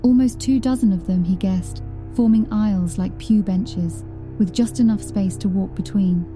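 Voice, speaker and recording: A woman's voice: medium pitch, normal speed, music in the background, rough recording. A woman reading a scripted horror story as an audiobook narrator, in a dramatic storytelling style.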